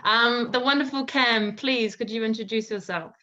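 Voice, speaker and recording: A woman's voice: a little high, normal speed, bright, forceful tone. A woman hosting a casual online panel, upbeat and effusive as she introduces speakers over a video call. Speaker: panel host. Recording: slightly dull video-call audio.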